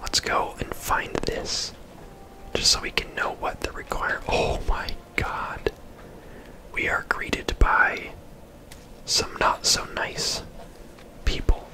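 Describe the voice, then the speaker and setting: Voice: takes it slowly, pauses often, poor audio. Whispering ASMR gamer, barely voiced. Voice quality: breathy, unvoiced whisper. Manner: soft-spoken, rambling, casual. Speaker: gaming video host. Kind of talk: ASMR gameplay commentary.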